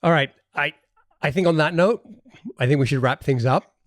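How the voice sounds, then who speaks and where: A man's voice: fairly high pitch, moderate pace, dry recording. Man hosting a casual interview, dry close sound. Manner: hesitant, repeats words while thinking aloud. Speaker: podcast host interviewer.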